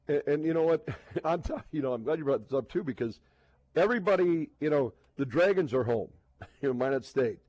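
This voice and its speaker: A man hosting a casual sports radio talk show, speaking in a halting, repetitive way and often restarting his sentences. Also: on the high side, lively intonation, a little mellow, dry recording, lo-fi sound.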